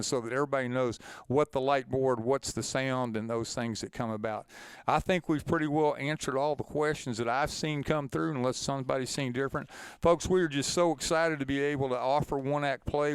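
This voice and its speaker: A man presents an informal instructional webinar. His voice is very smooth and clean at a medium pitch, recorded dry with no room sound. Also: no echo.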